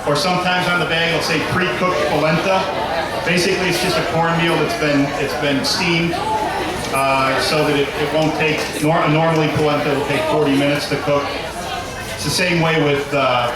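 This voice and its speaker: A man's voice: fairly high pitch, flat delivery, very noisy. A man working as a chef, explaining recipe steps in a live demonstration and often repeating words as he goes. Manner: halting, repeats words while cooking. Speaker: chef giving a cooking demonstration. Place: outdoor event before an audience. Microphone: handheld microphone. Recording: echoey public address, distant mic, crowd around.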